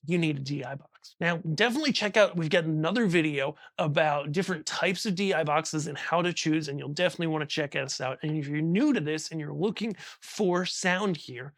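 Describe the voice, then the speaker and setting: Guy with a slightly high voice doing a casual how-to, chatty, keeps checking in, dry close sound. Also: fairly quick, hardly any pauses, fairly bright tone, no echo. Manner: conversational, checks in with frequent "right?" and "okay?". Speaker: host, audio gear instructor. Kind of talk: casual explainer tutorial.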